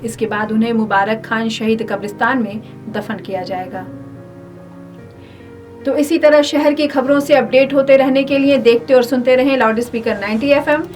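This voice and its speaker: A woman's voice: a little high, normal speed, darkish tone, far from the mic, soft background music. A woman presenting a scripted radio news bulletin.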